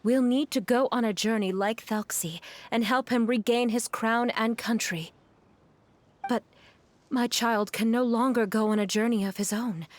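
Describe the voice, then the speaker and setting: A gentle mother character from a video game, acted by a woman, with a somewhat high voice. She is sorrowful yet resolute and speaks with measured, earnest care. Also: no echo, natural voice.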